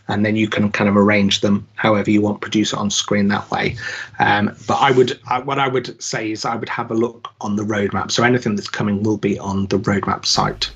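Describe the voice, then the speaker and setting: A man's voice: on the low side, fairly bright tone, husky. A male guest speaker answering questions on a video call, hesitant with many restarts. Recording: dull video call audio.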